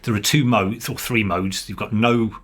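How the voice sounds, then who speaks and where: A man with a fairly low, husky voice gives an informal software demonstration. He explains things step by step as a developer would, often pausing mid-sentence to find the next phrase. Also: steady tone.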